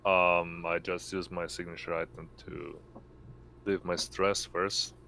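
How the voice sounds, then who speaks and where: A man describes his turn in a tabletop game in a deep, fry-laden voice. He speaks slowly with little melody. Faint noise sits behind him on the online call. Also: level tone, bright, forceful tone. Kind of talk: casual chat during online gaming.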